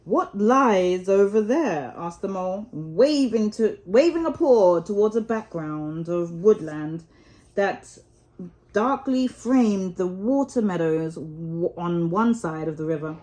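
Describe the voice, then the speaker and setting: A woman's voice: lowish voice, animated, takes it slowly, mellow. A woman storyteller reading a children's storybook aloud. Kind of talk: storybook narration read aloud.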